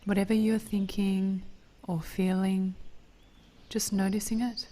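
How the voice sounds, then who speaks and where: A woman leading a guided meditation in a gentle, soothing, hushed voice, with phrases trailing into breath. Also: mid-range voice, little pitch movement, unhurried.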